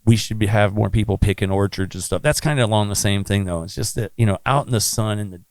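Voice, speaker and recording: A man with a low, raspy voice talks bluntly and at length, spinning stories as a podcast guest. The sound is dry and close, with no room around it. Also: fast, darkish tone, no room sound. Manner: blunt, chatty storyteller. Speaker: musician guest. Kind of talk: casual podcast interview.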